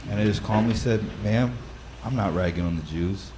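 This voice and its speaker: A man with a loud voice who leads a Bible study, explaining practical advice in a conversational way with frequent fillers and restarts. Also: on the low side, moderate pace, slight background noise, poor audio. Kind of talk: instructional talk.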